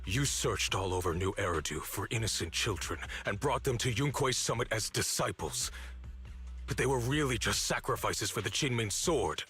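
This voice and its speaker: A man's voice: on the low side, animated, moderate pace, fairly bright tone, husky, full character voice. An actor playing a bitter, resolute young man, an orphaned former disciple, in a dramatic video game with sardonic, accusing delivery. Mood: cold defiance. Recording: studio voice over background music. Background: music.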